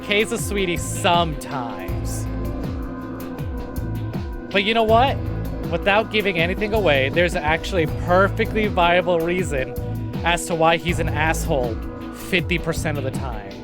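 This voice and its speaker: A man's voice: fairly high pitch, measured pace. A male streamer reading a visual novel aloud, with playful, wry asides. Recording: game music behind the voice. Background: clear game music.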